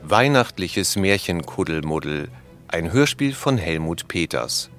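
A man's voice: sits low, very animated, unhurried. A man reading scripted credits as a radio announcer. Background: music.

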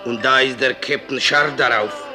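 An actor playing a hard-drinking, spooked sailor telling an eerie yarn in a theatrical, colloquial way, in a radio drama. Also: male voice, medium pitch, steady tone, moderate pace, natural voice. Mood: indignant, uneasy.

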